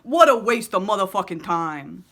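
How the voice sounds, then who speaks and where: A woman voicing an enraged, foul-mouthed inner voice that rants loudly. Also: medium pitch, expressive, normal speed. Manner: ranting, shouting.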